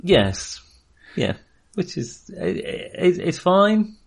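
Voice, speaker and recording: A man co-hosting a film podcast in casual discussion, thinking aloud in a hesitant, halting way. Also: high voice, big pitch swings, creaky.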